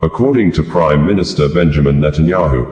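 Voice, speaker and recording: Echoey room, man, low-pitched voice, formal news reading, long scripted sentences. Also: brisk, a little mellow. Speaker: news reader.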